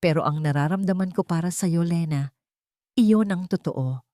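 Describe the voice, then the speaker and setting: Casting: female narrator as remorseful male lover in a romance audio story, earnest and begging, low register, dry recording. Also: moderate pace, dark tone, no room sound, natural voice. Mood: earnest pleading. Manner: pleading, earnest, weighty. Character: desperate, guilt-ridden man pleading with his beloved.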